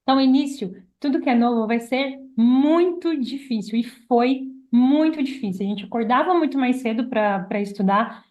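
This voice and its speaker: A woman's voice: a little high, normal speed, hardly any pauses, a little mellow. A young Brazilian woman telling her own story casually as a podcast guest. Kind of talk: casual personal storytelling in conversation. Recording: slightly dull, lo-fi podcast audio.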